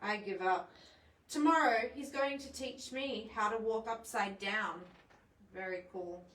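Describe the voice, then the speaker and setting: A woman reading a children's picture book aloud in a playful, expressive way, adding chatty asides for young listeners. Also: medium pitch, measured pace. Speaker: library storytime presenter. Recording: echoey room, distant microphone.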